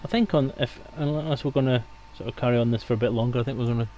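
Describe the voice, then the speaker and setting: A man's voice: medium pitch, steady tone, rapid-fire, mellow, slight background noise. A man hosting a livestream, chatting casually with his guests and viewers as he wraps up. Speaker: livestream host.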